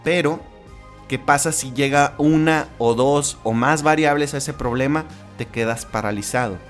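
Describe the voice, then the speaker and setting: A man's voice: mid-range voice, normal speed, soft background music. Chatty Mexican man hosting a podcast. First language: Spanish. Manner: conversational, with frequent restarts and tag questions. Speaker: podcast host. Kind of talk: casual solo podcast monologue.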